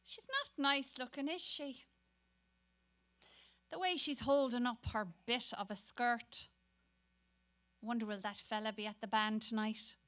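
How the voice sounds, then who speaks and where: A woman's voice: fairly high pitch, unhurried, long pauses, bright, forceful tone. A woman playing a bored, gossipy young typist who turns dutiful on the telephone, in a dramatic stage reading. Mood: catty, wistful.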